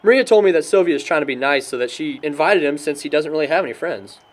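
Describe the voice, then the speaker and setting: Man acting an easygoing young guy, lively higher voice. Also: fast, natural voice. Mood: casual. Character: easygoing young man, bantering party host. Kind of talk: scripted amateur audio drama dialogue.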